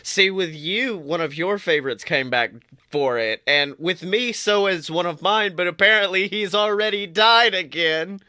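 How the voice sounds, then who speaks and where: Animated young man, bright dry voice. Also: high-pitched, moderate pace, clean tone, no echo. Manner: animated, playful, mock-outraged. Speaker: podcast co-host. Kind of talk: casual chat.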